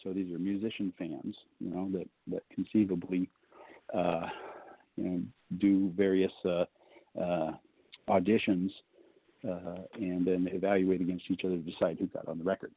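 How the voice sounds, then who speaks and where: A man with a low baritone voice, a guest on a call-in show, telling a story casually and joking along the way, with many fillers. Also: level tone, moderate pace, breathy edge, dry recording. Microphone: phone. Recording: thin phone line.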